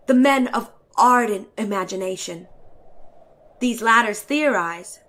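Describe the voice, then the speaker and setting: A woman's voice: sits high, bright, forceful tone. A woman giving a dramatic story reading as a haughty, chatty traveler, with emphatic, ornate, declamatory delivery. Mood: earnest, mocking.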